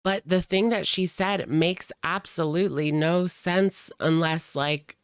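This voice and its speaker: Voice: medium pitch, fairly even intonation, measured pace, smooth, no room sound, studio quality. A streamer giving casual reaction commentary in a gossipy, sardonic, conversational way.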